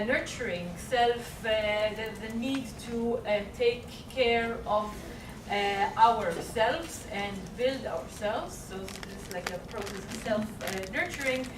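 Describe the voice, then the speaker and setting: A woman presents to a panel, pausing often with fillers and restarting her sentences. She sounds distant and roomy, with steady background noise in the hall. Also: fairly high pitch. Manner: halting, many fillers and restarts. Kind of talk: conference presentation. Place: conference hall. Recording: echoey room, far mic, noisy.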